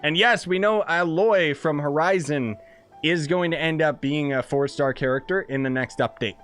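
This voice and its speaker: A man's voice: fairly high pitch, moderate pace, a bit bright, soft background music. A young man rambling casually about a game he is playing, laid-back and full of fillers. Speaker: gaming streamer. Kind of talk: casual commentary over gameplay.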